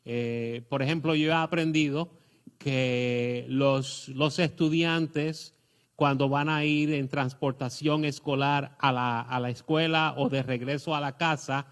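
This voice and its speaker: Puerto rican guy preaching, middle-aged, lots of ums and restarts, mid-pitched voice. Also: steady tone, takes it slowly, smooth. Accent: Puerto Rican Spanish. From Puerto Rico. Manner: hesitant, many fillers and restarts. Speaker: pastor. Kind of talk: sermon.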